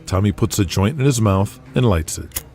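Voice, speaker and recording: A man's voice: lowish voice, expressive, normal speed, mellow, soft background music. A man reading scripted narration for an audio drama. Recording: faint background noise.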